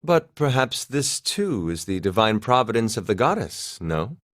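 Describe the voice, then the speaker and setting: An actor playing a courtly nobleman in an anime game dub, speaking in a measured, formal and lofty manner. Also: lowish voice, big pitch swings, fairly bright tone, no echo, clean studio sound. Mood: serene, solemn.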